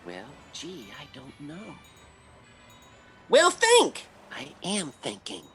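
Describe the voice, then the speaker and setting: Bumbling American radio husband played by a man: self-mocking, flustered, unhurried stammer, hugely varied intonation. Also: fairly high pitch, wildly swooping pitch, a bit bright, slightly rough, soft background music, full character voice. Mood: self-reproachful. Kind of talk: old-time radio comedy acting.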